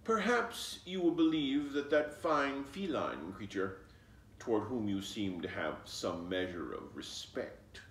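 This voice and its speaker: A man's voice: on the low side, big pitch swings, slow, full character voice. A man voicing a proud, prissy male fox in a storybook, pompous, wordy and easily indignant. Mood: indignant, stiff. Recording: roomy, echoing, distant microphone.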